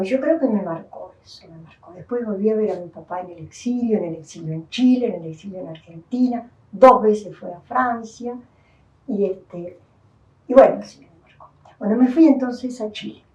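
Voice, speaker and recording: A woman's voice: mid-range voice, a little mellow. An older woman with a Uruguayan Spanish accent, giving a reflective personal testimony as an interviewee, thoughtful and full of restarts. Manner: thoughtful, with many restarts and fillers. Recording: distant, dull, roomy sound.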